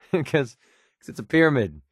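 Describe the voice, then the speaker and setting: An actor playing a wry, guarded young American mercenary companion in a video game, talking in a sardonic, conversational way. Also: male voice, on the high side, no room sound, clean studio sound, full character voice. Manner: sardonic, conversational, hesitant asides.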